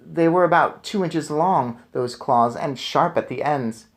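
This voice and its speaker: A man's voice: a little high, unhurried. Man dramatically reading a storybook aloud. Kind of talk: expressive children's book narration with casual asides.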